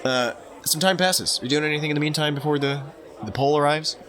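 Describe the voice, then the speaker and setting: A man's voice: a little high, fast, fairly bright tone, a faint crowd. Casual man running a tabletop game. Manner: casual, slangy. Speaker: game master. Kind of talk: improvised tabletop game narration.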